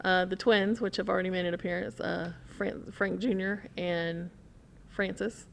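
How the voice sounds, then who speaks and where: A woman's voice: on the low side, fairly even intonation, moderate pace, creaky. Woman telling a story in casual chat.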